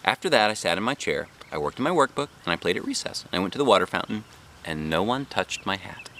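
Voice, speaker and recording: A man reading a children's book aloud as a dad, with expressive, animated narration and put-on character voices. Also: deep voice, fast, slight background noise.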